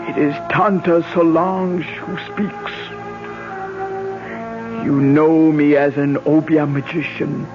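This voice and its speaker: An old Caribbean obeah sorceress from a vintage radio play. She intones very slowly and solemnly, commanding and fervent as in a spell. The recording is muffled, with music behind. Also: sits low. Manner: incantatory, solemn, slow and declamatory. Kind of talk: 1970s radio drama acting.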